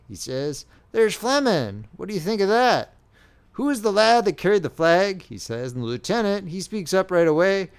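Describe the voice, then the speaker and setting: Male reader as excitable gossip soldier, raised pitch, bouncy. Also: smooth. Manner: breathless storyteller, repeating "he says".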